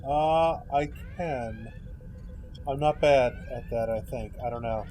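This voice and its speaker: A man's voice: medium pitch, slow, warm tone. A man playing a tabletop roleplaying game in casual, rather hesitant chat. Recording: faint background noise.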